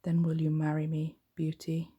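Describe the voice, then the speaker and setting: A woman plays a big, lonely storybook beast with a kind heart. The voice is low, mellow and breathy, speaking softly and earnestly in measured phrases. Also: monotone. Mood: wistful. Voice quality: soft, breathy.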